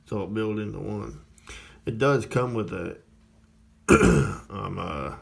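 Brief: plainspoken male reviewer, very deep and gravelly, unhurried, rambling demo talk. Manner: plainspoken, rambling, many uh fillers. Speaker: radio reviewer. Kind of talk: casual product demo.

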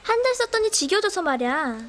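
A snooty, spoiled schoolgirl with a very high, animated voice. She is sneering and dismissive, in children's drama style, over clear background noise. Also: fast talker, heavily acted.

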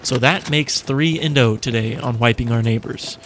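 A man's voice: medium pitch, slight background noise. A man telling the story of a survival game run and calling out shots to his team. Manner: shouts quick callouts in fights. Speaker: game streamer narrating. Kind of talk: game callouts and voice-over narration.

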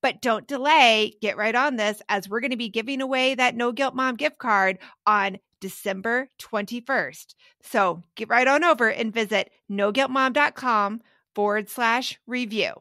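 A woman's voice: moderate pace, no room sound, clean studio sound. A woman co-host reading an upbeat, persuasive promo for her podcast. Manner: bright, pitchy and persuasive.

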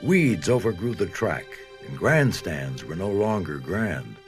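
A man's voice: sits low, big pitch swings, a little slow, soft background music. A man delivering polished, scripted narration for a documentary.

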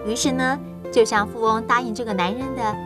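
Female narrator, children's story, scripted, highish pitch, measured emphasis, music behind. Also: steady tone.

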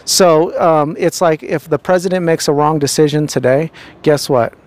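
A man's voice: fairly high pitch, moderate pace, darkish tone. A young man with a handheld mic interviewing people outdoors on a city street, talking earnestly and preachily with many fillers and restarts.

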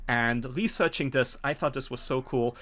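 Middle-aged male game master, mid-pitched voice, halting narration, pausing often, video call. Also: fairly bright tone. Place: home room, indoors.

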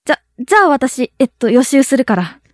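A woman's voice: high voice, big pitch swings, fairly quick, no echo, clean studio sound. A young woman playing a friendly, easily flustered schoolgirl with a crush in a radio drama. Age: young adult. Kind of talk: Japanese student radio drama acting.

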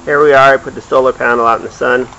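A middle-aged man giving a casual hands-on demonstration in a halting, plain-spoken way. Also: medium pitch, steady tone, creaky. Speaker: DIY video maker. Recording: dull lo-fi camera sound with hiss.

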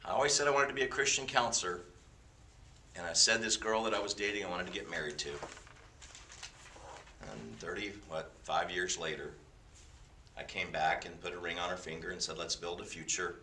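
A man in his fifties gives an informal sermon, his lowish voice ringing very bright and forceful. He drops jokey, self-deprecating asides into his stories. The sound is roomy and picked up from away from the mic. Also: steady tone, fairly quick, long pauses, distant mic, slight background noise.

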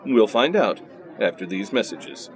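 A man's voice: lowish voice, expressive, measured pace, crowd noise. A man playing a mock-dramatic announcer in a parody radio play and sponsor read.

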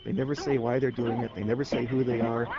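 A man's voice: mid-range voice, flat delivery, fast talker, loud music behind the voice. A man explaining things as an interviewed expert on a radio feature. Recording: dull lo-fi, loud background noise.

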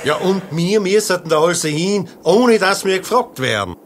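An actor playing a sly, self-interested shepherd with a Tyrolean Austrian accent in a satirical radio play. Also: male voice, high-pitched, lively intonation, normal speed, a little hiss or hum. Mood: grumbling. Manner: blunt, colloquial, sceptical.

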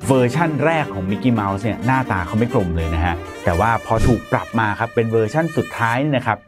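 A man in his thirties explains a topic straight to camera, his pitch rising and falling with lively swings. He keeps a polite, chatty tone, recorded close on a lapel mic in a studio with soft music faintly underneath. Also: fast. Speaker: presenter. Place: bright studio in front of a plain grey backdrop.

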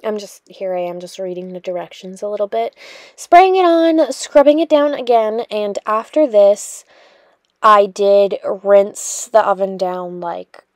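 A woman's voice: medium pitch, measured pace, dry recording. Chatty young woman, dry close voice-over. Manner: chatty, rambling, lots of fillers. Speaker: cleaning vlogger. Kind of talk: casual voice-over narration.

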